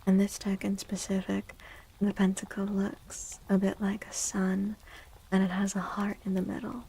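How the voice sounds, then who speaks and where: Soft spoken asmr streamer lady, kinda whispery n husky, mellow, takes her time. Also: medium pitch, monotone, normal speed, poor audio. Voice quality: soft, breathy, often whispery. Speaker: streamer and tarot reader.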